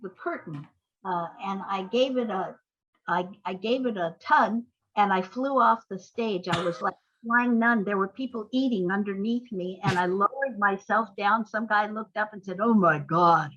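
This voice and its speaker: A woman's voice: mid-range voice, normal speed, fairly bright tone, slight background noise. Older woman storyteller on muffled audio. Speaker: guest playwright. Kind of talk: anecdotal podcast interview talk.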